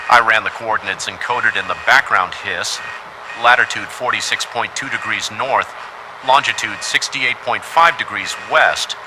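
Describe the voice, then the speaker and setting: A man's voice: fairly low pitch, background noise. A man reading a scripted first-person horror story as its narrator.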